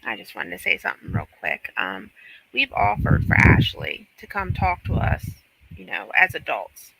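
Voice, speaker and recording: A man's voice: fairly high pitch, huge pitch swings, normal speed, creaky, sharp tone, poor audio. A man co-hosting a livestream, talking casually. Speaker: livestream co-host.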